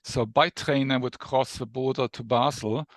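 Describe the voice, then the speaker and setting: A man's voice: medium pitch, fairly even intonation, moderate pace, no echo. A mature man from Germany with a German accent, speaking as an academic guest explaining things in an interview. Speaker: guest professor, neuroscience researcher.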